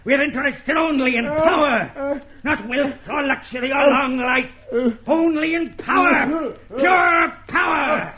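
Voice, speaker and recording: An actor playing a cold, commanding party inquisitor and torturer in a radio drama, with theatrical, deliberate, menacing delivery. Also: male voice, extremely high pitch, pushed and bright, full character voice, poor audio. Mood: fanatical fury. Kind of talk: mid-century radio drama acting.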